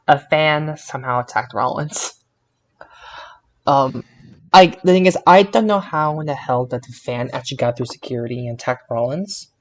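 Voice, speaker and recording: Halting young-sounding guy chatting casually. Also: mid-range voice, slight background noise. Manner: halting, often restarting mid-sentence. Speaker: podcast co-host. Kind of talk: casual wrestling recap chat.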